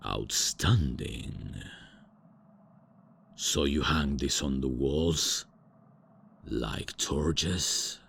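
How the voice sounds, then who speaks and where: A man's voice: fairly bright tone, slight background noise, exaggerated voice. A man voicing an ancient, arrogant male vampire lord in an ASMR roleplay drama, speaking in a pompous, grand manner. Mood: curious. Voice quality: very deep, gravelly, slow and pompous.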